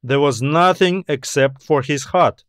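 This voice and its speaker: Man playing a dutiful colonel, slow formal reporting. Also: a little high, fairly bright tone, no room sound, clean studio sound. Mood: confident. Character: self-assured, dutiful, grim military colonel reporting to admiral.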